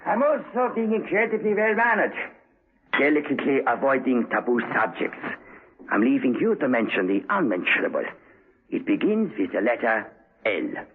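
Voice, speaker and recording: An actor playing a cultured, smug imprisoned villain in a 1970s radio drama, with measured, taunting politeness. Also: male voice, mid-range voice, big pitch swings. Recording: muffled husky 1970s radio recording.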